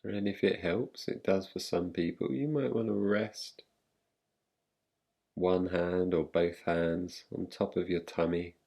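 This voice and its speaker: A man guiding a meditation in a calm, gentle, unhurried manner, leaving long pauses between phrases. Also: deep voice, creaky. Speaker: meditation guide. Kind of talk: guided meditation, yoga nidra.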